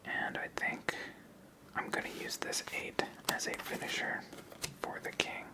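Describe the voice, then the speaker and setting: An ASMR host whispering commentary while playing through a tabletop card game. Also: a little slow.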